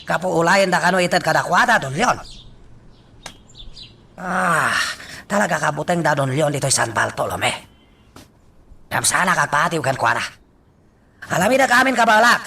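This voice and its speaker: Sharp, impatient crime boss. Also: male voice, on the high side, lively intonation, moderate pace, gravelly, pauses often, heavily acted. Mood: scheming, tense. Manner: forceful, agitated. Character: impatient male crime boss plotting drug deals. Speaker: radio drama actor. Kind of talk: Ilocano radio drama acting.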